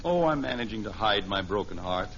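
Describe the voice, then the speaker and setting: An actor playing a gentle, easygoing middle-aged father in a 1950s radio sitcom, soft-spoken and understated. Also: fairly low pitch, expressive, a little fast. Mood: modest, relaxed. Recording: muffled old radio recording, faint hiss.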